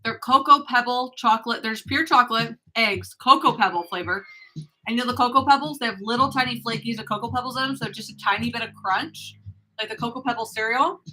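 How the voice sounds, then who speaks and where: A woman in her thirties with an Idaho accent, chatting excitedly and swearing casually. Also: on the high side, a little fast, slight background noise, poor audio. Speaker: co-host of a couple's channel. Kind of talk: casual chat on a live stream.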